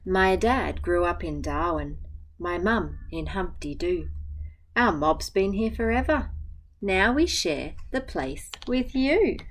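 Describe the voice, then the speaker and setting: An Australian woman, a teacher, reading a picture book aloud to children and chatting gently between pages. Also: on the low side, slight background noise, poor audio. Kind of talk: storytime read-aloud with asides to children.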